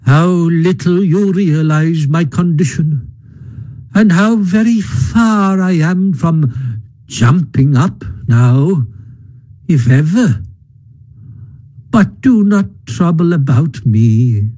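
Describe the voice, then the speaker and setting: Guy reading aloud doing a feeble whiny toad, high thin voice, drags every word out slow. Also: expressive, mellow. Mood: feeble, plaintive. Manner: faint, drawn-out, mock-dying plaintive delivery. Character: feeble self-pitying male toad, sulky and artful. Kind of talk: storybook reading.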